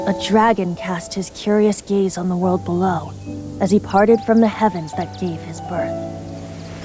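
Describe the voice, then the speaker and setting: A woman voicing a young male wandering bard, reciting an old ballad in a slow, poetic, lilting way over music. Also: medium pitch, natural voice. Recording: clear music underneath.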